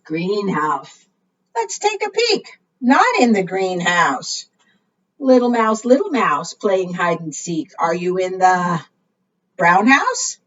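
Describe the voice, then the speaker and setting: A woman's voice: fairly low pitch, very animated, takes it slowly, fairly bright tone. A woman reading aloud to young children as a storytime leader, in a sing-song way, often putting questions to her listeners. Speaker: librarian leading preschool storytime. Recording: muffled, distant microphone.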